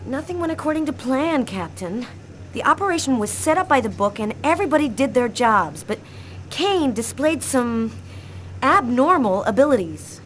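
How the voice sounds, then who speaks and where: An actress playing a serious, methodical police detective in a matter-of-fact way for a video game drama. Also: female voice, high-pitched, sing-song, moderate pace, big-room sound, a little hiss or hum. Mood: defensive, determined.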